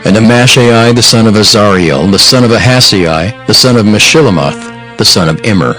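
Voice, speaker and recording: Low-voiced man narrating scripture over music. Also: a bit bright, low-quality recording. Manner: solemn, measured, formal delivery. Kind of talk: dramatized scripture audiobook narration. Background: background music.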